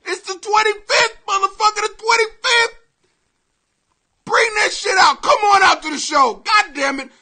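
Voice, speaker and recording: High-pitched, forceful American comedian, a man. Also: normal speed. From Greenwich, Connecticut, United States. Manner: brash, profane, excitable, often shouting. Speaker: comedian and podcast host. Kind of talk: ranting comedy podcast monologue.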